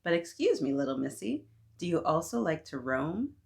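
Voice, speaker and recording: An exuberant, worldly auntie from a picture book, as a woman reader puts her on. She proclaims things theatrically, with a creaky edge to her voice and an eccentric streak. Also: low voice, very animated, normal speed, a little mellow, full character voice. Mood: warmly inviting. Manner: theatrical, exclaiming.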